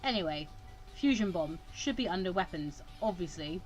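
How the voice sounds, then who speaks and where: A woman's voice: lowish voice, animated, moderate pace, faint music behind, lightly acted, poor audio. A woman voicing a stern older male mentor in a visual novel, dry and instructive.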